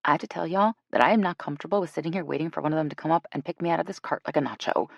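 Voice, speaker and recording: A woman voicing a nervous, sarcastic, giggly woman in an audiobook, in a quick, hushed manner. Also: deep voice, creaky, dry recording, lo-fi sound. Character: jittery, giggly, sarcastic woman scared of bears. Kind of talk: audiobook character acting.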